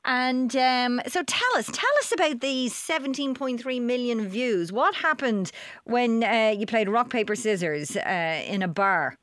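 A woman hosting a live radio interview, chatty and halting with frequent fillers. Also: fairly high pitch, expressive, a bit bright, no room sound, studio quality. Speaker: radio host. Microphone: studio microphone.

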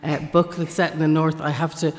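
A woman with a southern Irish accent talks about her background, pausing often and reaching for words. Her voice carries the long, ringing reverb of a large stone hall. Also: sits low, flat delivery. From Northern Ireland. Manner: hesitant, many fillers. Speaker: author guest. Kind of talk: literary discussion. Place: large marbled sculpture hall in a gallery.

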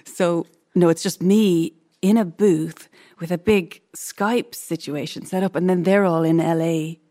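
Low-voiced Irish actress, laughing guest. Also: female voice, steady tone, unhurried, mellow. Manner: self-effacing, laughs while talking. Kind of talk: casual interview chat.

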